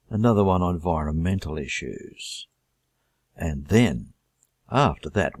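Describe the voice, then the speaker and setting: A middle-aged Australian man introducing his English course in a measured, deliberate teaching manner. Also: low-pitched, darkish tone, breathy edge, dry recording, studio quality. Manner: measured, deliberate delivery with listed items.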